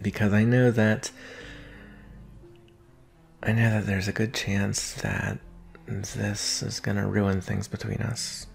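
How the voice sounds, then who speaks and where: A young man playing an anxious, protective vampire boyfriend in an intimate roleplay audio drama, speaking in an earnest, halting way with sighs. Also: on the low side, vocal fry, a little mellow. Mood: apologetic, nervous. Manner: halting, sighing, earnest delivery.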